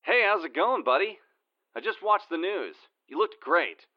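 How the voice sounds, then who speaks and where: A man's voice: high-pitched, big pitch swings, unhurried. An actor playing a glib, ruthless male schemer in an audio drama, breezy and dismissive, on a dull, muffled recording.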